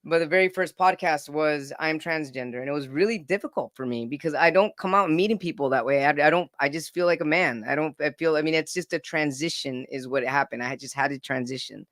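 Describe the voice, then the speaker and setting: A man's voice: a little high, brisk, few pauses, a bit bright, no room sound, clean studio sound. A man in his forties telling his own story as a podcast guest, earnest and often repeating words as he starts a sentence. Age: 40s. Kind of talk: casual personal storytelling.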